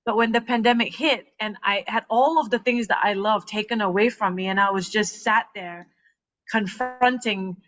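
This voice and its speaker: A woman in her thirties from Malaysia, a coach telling her story in a casual podcast chat, animated and laughing often. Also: medium pitch, moderate pace. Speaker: guest, transformational coach. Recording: muffled lo-fi sound.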